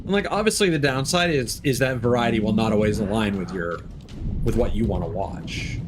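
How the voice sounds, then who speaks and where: A man's voice: fairly low pitch, a little fast, background noise. A man live streaming a game, casually chatting and thinking aloud in a reflective, rambling way. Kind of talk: casual chat with gameplay commentary.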